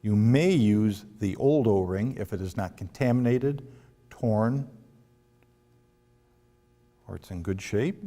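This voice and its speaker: Slow, mellow man in an echoing room. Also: on the low side, expressive. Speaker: product demonstrator. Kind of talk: step-by-step instructional demonstration. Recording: long reverberant room echo.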